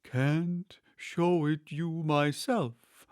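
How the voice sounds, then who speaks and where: A man plays a sorrowful old-fashioned storybook turtle who draws every word out with a sighing, mournful weight. The pitch sits fairly high for a male, and the sound is dry and close. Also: steady tone, a little mellow, dry recording. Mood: slow, mournful. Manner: drawn-out, mournful delivery with long stretched words. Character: melancholy, sighing, slow male Mock Turtle. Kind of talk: storybook character reading.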